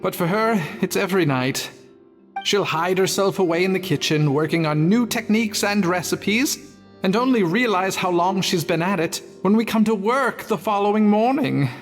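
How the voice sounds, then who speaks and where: An actress plays a conscientious junior female kitchen worker in a game. Her voice is medium in pitch and candid, with long reverb over faint music. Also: normal speed, natural voice. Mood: earnest. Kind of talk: animated game dialogue.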